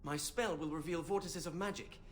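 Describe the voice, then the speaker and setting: A man's voice: on the high side, a little fast, slight background noise, natural voice. An actor playing a fussy, bookish young male mage in a video game, earnest and hesitant as he over-explains. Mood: hesitant, explaining. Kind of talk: video game character acting.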